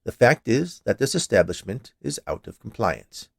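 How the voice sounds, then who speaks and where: A man's voice: fairly low pitch, very animated, moderate pace, vocal fry, no echo, clean studio sound, heavily acted. A man reading a story, voicing an officious, stubborn male health inspector with a curt, pedantic manner.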